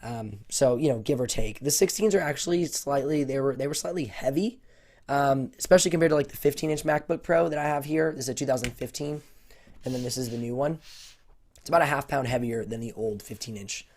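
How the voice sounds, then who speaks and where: A man with a voice hoarse from a cold, answering viewer questions on a casual livestream, rambling with lots of fillers. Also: mid-range voice, fairly quick, a little mellow. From United States. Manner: rambling, many fillers and restarts. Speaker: tech YouTuber hosting a livestream Q&A.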